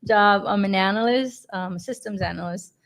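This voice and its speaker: A woman's voice: medium pitch, moderate pace. A woman in her forties introducing herself as a podcast guest, giggly and chatty. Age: 40s.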